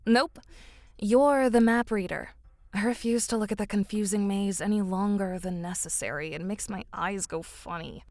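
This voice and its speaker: An actress playing a loyal, feisty young woman fighter in a visual novel game, with expressive, emphatic delivery. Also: medium pitch, normal speed, no echo, close to an everyday voice. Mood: stubborn, teasing.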